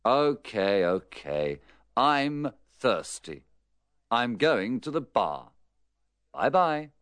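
An actor playing a cheerful Dutch driver in his late thirties, speaking with very slow, deliberate textbook delivery. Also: medium pitch, very animated, fairly bright tone, clear, resonant tone, dry recording, full character voice. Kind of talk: scripted language course dialogue.

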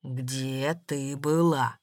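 Audiobook role: bullying, suspicious man played by a female reader, lowered voice, forceful accusations, dry recording. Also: very animated, unhurried, no room sound, full character voice. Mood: cold, menacing. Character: angry, bullying middle-class businessman, jealous and suspicious.

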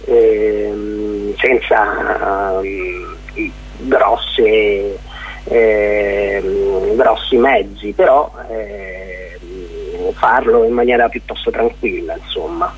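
A man talks slowly and hesitantly, often searching for words. His voice is on the low side and rough-edged. He sounds like an interview guest phoning in to a radio show, on a narrow telephone line. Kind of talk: informal radio phone interview.

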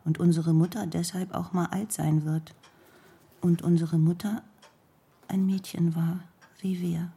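Very breathy, husky, brooding actress. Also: female voice, on the low side, little pitch movement, mellow, exaggerated voice. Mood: brooding, melancholy. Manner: fragmented, deliberate phrasing. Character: melancholy, world-weary actress, wry and brooding. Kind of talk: literary radio drama monologue and dialogue.

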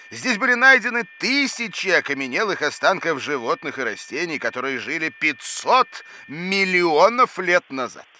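A man's voice: fairly high pitch, sharp tone, a little hiss or hum, exaggerated voice. A man playing a fussy, indignant, absent-minded professor in a children's educational audio drama, with a pompous, exasperated theatrical delivery.

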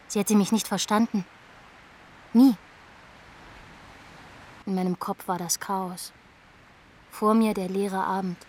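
A woman's voice: mid-range voice, a little mellow, lightly acted. A woman acting a troubled young woman, terse confessor. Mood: troubled, reflective. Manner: terse, fragmented, matter-of-fact sentences. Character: troubled young woman recounting her aimless, desperate night drive. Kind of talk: interview-like confessional monologue in a radio drama.

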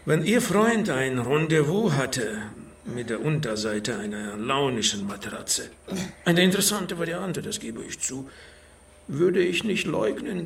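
An actor playing a haughty, discreet underworld middleman in a noir radio drama, speaking formally and evasively with a creaky voice. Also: mid-range voice, a bit bright. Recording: distant mic with background noise.

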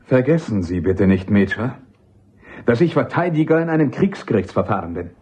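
An actor playing a courteous but persistent army captain and defence lawyer in a German radio drama, speaking formally. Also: male voice, medium pitch, big pitch swings, normal speed, darkish tone, slightly rough, dull audio. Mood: firm, insistent. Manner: courteous, formal, deferential yet insistent.

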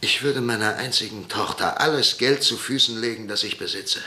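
A stern, proud father talks in clipped, formal outrage in a radio play. His voice is lowish but bright and forceful. It sits in a roomy, echoing space. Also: fairly quick. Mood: indignant. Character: stern proud older father, head of household. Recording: roomy echo.

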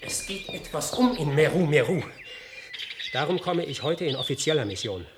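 An actor playing an earnest, formal official envoy in a radio play. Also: male voice, fairly high pitch, big pitch swings, moderate pace, noisy background. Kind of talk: German radio play acting.

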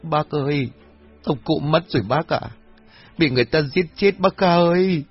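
A man's voice: fairly high pitch, normal speed, slightly rough, highs cut off, faint music behind, voice pushed up. A man voicing a distraught village woman, sobbing and pleading in grief, in an audio horror story. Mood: frantic grief. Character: distraught village woman, daughter sobbing over her murdered father.

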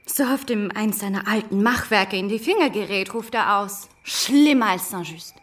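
An actress playing a vivacious, playful young wife in a radio drama, amused and teasing. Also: female voice, mid-range voice, moderate pace, a bit bright, lightly acted.

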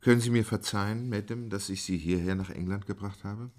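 An actor playing a reserved, dignified English gentleman in a German audio drama, speaking formally and with restraint. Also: fairly low pitch, steady tone, a little fast, natural voice. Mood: grave, restrained.

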